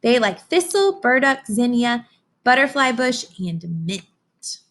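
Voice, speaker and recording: A woman reading a children's book aloud like a teacher, expressive and instructive. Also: a little high. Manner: expressive, instructive, with dramatic pauses and hushed asides. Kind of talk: children's read-aloud with explanations and questions to listeners.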